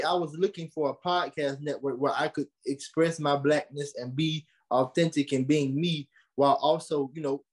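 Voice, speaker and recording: A young American man giving conversational interview answers, earnest and animated, repeating phrases for emphasis. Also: on the high side. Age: young adult. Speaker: podcast host and creative being interviewed.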